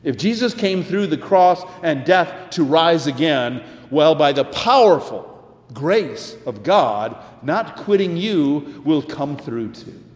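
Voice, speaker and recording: A male pastor delivering a sermon in an earnest, emphatic way, with deliberate pauses and repeated phrases. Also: on the high side, expressive. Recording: echoey, reverberant church sound.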